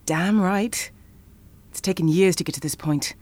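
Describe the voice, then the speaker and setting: A woman playing a playful, flirty and ambitious chocolatier in an audio drama, teasing and expressive. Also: on the low side, a little slow. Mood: excited, proud.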